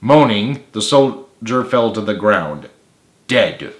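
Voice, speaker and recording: A man's voice: fairly low pitch, expressive, slow pace. A man reading a story aloud as a dramatic narrator in an echoey room. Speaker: author reading own book.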